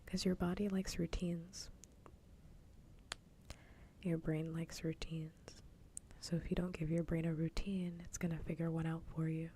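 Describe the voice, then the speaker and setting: A woman livestream host chatting casually in a soft, breathy whisper for ASMR, gentle and unhurried, close to the microphone. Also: sits low, little pitch movement, slight background noise.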